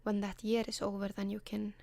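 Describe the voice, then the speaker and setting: A woman with an Icelandic accent, whispering gentle advice in an ASMR style, often pausing with soft ums. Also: mid-range voice, completely flat delivery, gravelly, no echo. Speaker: ASMR advice column host.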